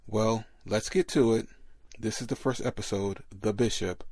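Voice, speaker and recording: Male podcast host, very low, husky and full of fry, recorded close and dry. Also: steady tone, moderate pace, a little mellow, dry recording. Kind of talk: podcast introduction.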